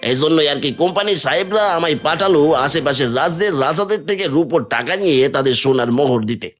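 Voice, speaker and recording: A man's voice: a little high, animated, fairly quick, a bit bright, soft background music. A man playing a sly, servile merchant swindler in a cartoon, with an ingratiating, scheming way of talking. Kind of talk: cartoon villain dialogue.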